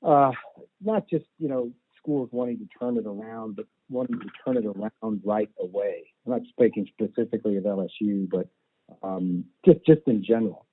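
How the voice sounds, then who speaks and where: A man's voice: medium pitch, moderate pace. A man working as a sports reporter, putting a question at a press teleconference in hesitant pieces. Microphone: teleconference phone line. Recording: phone-like conference line.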